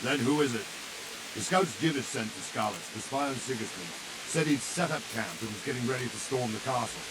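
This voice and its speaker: A man playing an alert, commanding medieval castle soldier in a video game, speaking briskly. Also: mid-range voice, animated, close to an everyday voice. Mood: puzzled, reasoning. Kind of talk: dramatic video game acting. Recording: clear hiss and background noise.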